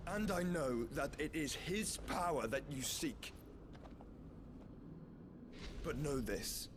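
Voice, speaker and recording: A man's voice: a little high, lively intonation, unhurried, noisy background. An actor playing an earnest, defiant young nobleman in a fantasy game, speaking formally and resolutely. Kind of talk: dramatic fantasy game voice acting. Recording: loud orchestral music behind. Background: loud music.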